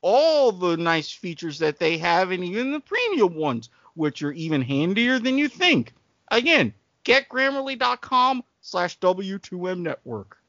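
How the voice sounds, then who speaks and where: A male podcast co-host with a very lively, swinging pitch. He talks in emphatic, wordy stretches like an easygoing salesman. The sound is dry and somewhat muffled. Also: a little slow, dry recording. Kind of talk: casual banter and ad-libbed sponsor read.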